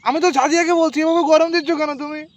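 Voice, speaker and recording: A very high-pitched, lovelorn village boyfriend, acted out by a man for a prank call. He pleads and whines, melodramatic and repeating his complaints. The recording has clear outdoor background noise. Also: fairly even intonation, racing, muffled recording. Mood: pleading. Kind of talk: prank phone call acting.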